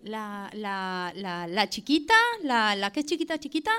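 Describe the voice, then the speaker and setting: A woman's voice: medium pitch, lively intonation, a little slow, fairly bright tone. A woman acting a chirpy, scatterbrained housemate in a radio drama, chattering and repeating words. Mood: chatty, puzzled. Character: scatterbrained, chirpy housemate fussing over towels and doors.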